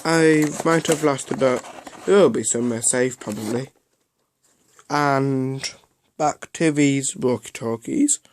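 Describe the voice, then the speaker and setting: A man giving a casual, unscripted product review in a halting way, often repeating himself. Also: mid-range voice, no echo.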